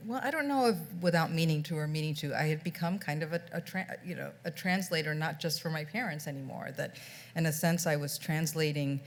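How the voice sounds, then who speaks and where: A woman's voice: low-pitched, a little fast. A middle-aged woman speaking as an author on a panel, reflective and often restarting her sentences. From United States. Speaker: author on a book festival panel. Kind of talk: panel discussion.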